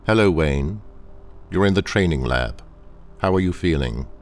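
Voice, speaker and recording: An actor playing a cold, menacing corporate training engineer in an audio drama, speaking in a clinical, curt, commanding manner. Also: male voice, sits low, animated, unhurried. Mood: clinical, controlled.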